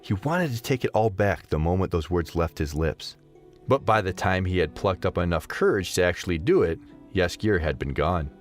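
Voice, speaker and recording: A man's voice: sits low, expressive, moderate pace, soft background music. A man narrating a scripted story as the narrator of an audio drama.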